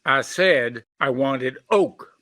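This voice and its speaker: Slow, forceful, stubborn customer. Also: male voice, medium pitch. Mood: insistent. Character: stubborn, demanding male store customer. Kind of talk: screwball radio sketch acting.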